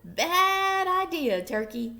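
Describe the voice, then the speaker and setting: A woman voicing a brash, proud farmyard rooster with loud crowing. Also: very high voice, very animated, slow pace, creaky, pitched above the performer's own voice, exaggerated voice.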